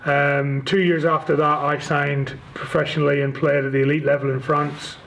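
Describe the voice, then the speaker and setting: Northern Irish man, even-toned and slightly high. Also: moderate pace, gravelly, low-quality recording. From Northern Ireland. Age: 40s. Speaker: interview guest, rugby league club chief executive. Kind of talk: casual interview answers.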